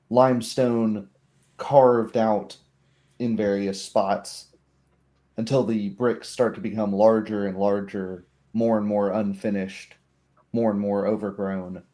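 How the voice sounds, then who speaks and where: A man working as game master, narrating scenes for a tabletop roleplay in a measured, descriptive way. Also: on the low side, dark tone.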